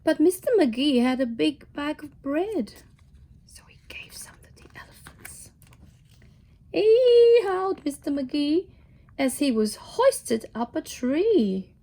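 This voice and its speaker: A woman with a high, very animated voice reads a picture book to young children. Her tone is soft and mellow and she takes her time, dropping into hushed asides as she goes. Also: measured pace. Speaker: children's storybook reader, teacher.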